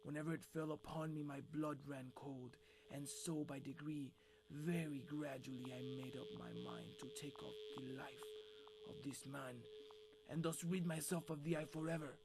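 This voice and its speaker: A man's voice: fairly high pitch, steady tone, normal speed, vocal fry, background noise. A man playing a nervous, mad murderer who insists he is sane, in a theatrical, intense gothic horror monologue. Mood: brooding. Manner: theatrical, intense, shifting between whispers and outbursts.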